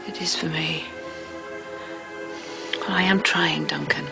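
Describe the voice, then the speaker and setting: An actress playing a troubled, guarded mother and doctor, speaking haltingly in a naturalistic drama style. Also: deep voice, fairly even intonation, creaky, over loud music, exaggerated voice. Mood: strained, defensive. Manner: hesitant, halting. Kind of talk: naturalistic screen drama acting.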